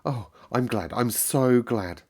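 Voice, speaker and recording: A man's voice: medium pitch, slow, darkish tone, voice pushed up. A man reading aloud and voicing an anxious, hesitant little girl who stammers.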